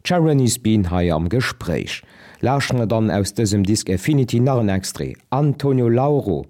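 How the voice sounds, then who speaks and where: A man, a radio presenter announcing the next track in a steady way. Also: fairly low pitch, moderate pace.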